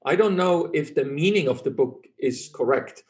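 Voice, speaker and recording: A man's voice: fairly high pitch, lively intonation, normal speed. A middle-aged man whose first language is German, speaking English as a physician guest in an interview, self-assured and earnest, often restarting phrases.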